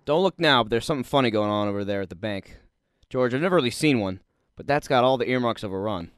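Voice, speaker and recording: An actor playing a wisecracking, friendly small-town cab driver in a radio drama, with a folksy, joking manner. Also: male voice, mid-range voice, animated, fast talker, clear, resonant tone, no echo, close to an everyday voice. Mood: uneasy. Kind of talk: old-fashioned radio drama acting.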